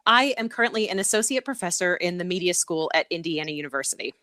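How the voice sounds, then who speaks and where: A woman's voice: fairly low pitch, expressive, fast, sharp tone, no echo. A middle-aged woman from Connecticut, a professor being interviewed, talking casually with many ums and easy laughs. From Connecticut, USA. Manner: frequent "um" fillers and repeats, laughs easily. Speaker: guest, associate professor.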